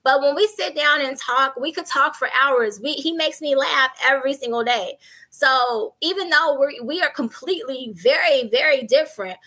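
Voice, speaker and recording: Bright, high-pitched American woman. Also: low-quality recording. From South Side of Chicago, United States. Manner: animated, candid, humorous, talks in examples and reported speech. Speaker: panel guest. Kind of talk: casual conversational advice with anecdotes.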